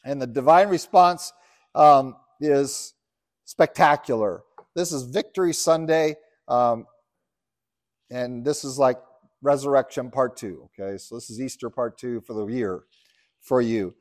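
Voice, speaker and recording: A man's voice: medium pitch, a little slow, no echo, studio quality. A middle-aged man from Uganda preaching a sermon as pastor, earnest, rising to emphatic scripture reading. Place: church.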